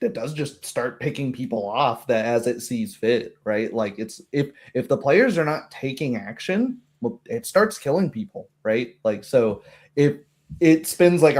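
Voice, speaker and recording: Guy just chatting about running tabletop games, game master type, animated, does little imagined reactions as he goes. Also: mid-range voice.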